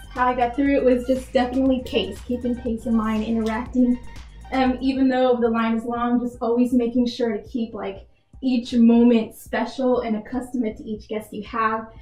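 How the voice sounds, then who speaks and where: A woman's voice: a little high, fairly even intonation, normal speed, darkish tone, roomy sound, soft background music, rough recording. A young woman from Texas answering interview questions earnestly, peppering her speech with "like" as a filler. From Texas, United States. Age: young adult. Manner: earnest, filler-heavy with frequent "like". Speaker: job applicant being interviewed, retail worker.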